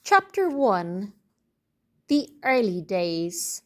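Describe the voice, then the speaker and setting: Slow, animated woman reading a storybook. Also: mid-range voice. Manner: deliberate, expressive, clearly phrased reading. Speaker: teacher reading aloud. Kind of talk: read-aloud storybook narration for children.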